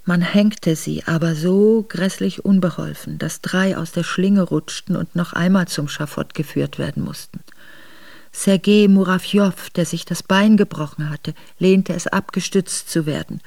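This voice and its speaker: A woman's voice: lowish voice, a little slow, gravelly, a little mellow, no echo. An actress in a radio drama playing a steadfast aristocratic wife who recounts her memoirs in composed, formal narration. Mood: pained, restrained. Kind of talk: literary first-person narration in a radio drama. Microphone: close dry studio microphone.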